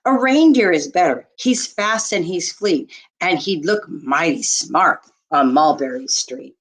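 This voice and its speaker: Female teacher-type storyteller, unhurried, expressive, widely varied pitch, for rhyming picture books. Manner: expressive, deliberate storytelling with short asides to listeners. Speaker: teacher reading aloud to children. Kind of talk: children's picture book read-aloud in rhyme.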